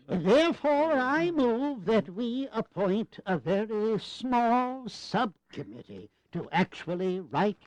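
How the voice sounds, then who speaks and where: A man's voice: sits high, no echo, exaggerated voice, poor audio. A man playing a witty, very old statesman in a historical audio drama, genial and avuncular with formal phrasing.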